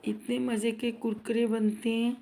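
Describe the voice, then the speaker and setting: A woman's voice: on the high side, level tone, moderate pace, dark tone, a little hiss or hum. A woman hosting a home cooking vlog, talking viewers through frying snacks while nursing a sore throat, chatty and friendly with her audience. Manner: chatty, addresses viewers as guys, asks for subscribes. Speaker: cooking channel host. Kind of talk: casual cooking vlog narration. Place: home kitchen.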